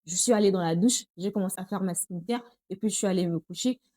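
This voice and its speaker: Young congolese woman vlogging, chatty, calls everyone girls, warm mellow voice, dry close sound. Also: medium pitch, fast, no room sound. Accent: Congolese French. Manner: chatty, addresses viewers as girls. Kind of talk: casual talk to camera.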